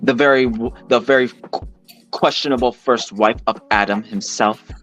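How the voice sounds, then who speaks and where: A man's voice: medium pitch, steady tone, moderate pace, a bit bright, muffled recording, soft background music. A man delivering a monologue to an audience in wordy, formal, pointed phrasing. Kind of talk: monologue addressed to camera and audience.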